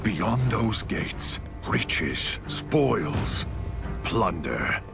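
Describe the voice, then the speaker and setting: An actor playing a grim, triumphant raider warrior, narrating an epic game cutscene portentously with dramatic pauses. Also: male voice, on the low side, big pitch swings, vocal fry, heavily acted. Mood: proud. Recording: loud music under the voice.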